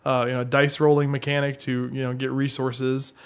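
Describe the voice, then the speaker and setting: A man's voice: medium pitch, monotone, fairly quick, clean tone. A man co-hosting a podcast, chatting about board games in a casual, jovial, conversational way. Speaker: podcast co-host.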